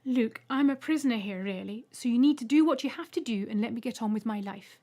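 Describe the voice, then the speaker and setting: An elderly woman in her seventies from a storybook , voiced by a female reader, bewildered and easily put out. She questions everything in a plain-spoken way, at a high pitch. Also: fairly quick, warm tone. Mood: resigned, pleading. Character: bewildered, indignant seventy-three-year-old woman.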